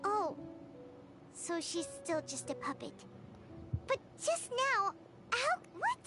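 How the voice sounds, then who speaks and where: Super high squeaky little fairy sidekick, excitable, bouncy voice, loud game music behind. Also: female voice, exaggerated voice. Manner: excitable, exclaiming, quick reactions. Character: tiny chatty floating fairy-like girl companion. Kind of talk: video game dialogue.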